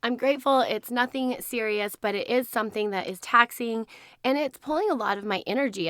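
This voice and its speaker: A hoarse woman hosting her podcast in a casual, chatty way, laughing often. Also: a little high, no room sound, studio quality. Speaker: podcast host. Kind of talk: casual chat.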